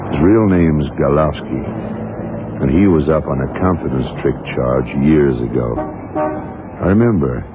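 Super low calm blind detective, old radio show, tinny sound, deliberate. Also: male voice, background noise, full character voice. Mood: dry, knowing. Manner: measured, deliberate. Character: blind FBI agent, calm, shrewd, deliberate man. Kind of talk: old-time radio drama acting. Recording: thin vintage radio recording, loud music.

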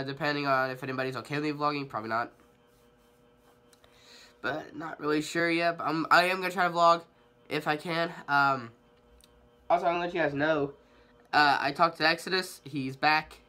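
A young man chatting casually to his viewers in a bright, forward voice that sits a little high. He sounds slightly nasal from a cold. He rambles and hesitates, with frequent ums and false starts. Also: steady tone, fairly quick. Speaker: vlogger. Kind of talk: casual update vlog.

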